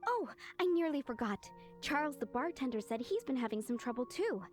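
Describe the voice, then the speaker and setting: A woman's voice: high-pitched, very animated, a little fast. A woman playing a polite, earnest townswoman in an anime video game. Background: music.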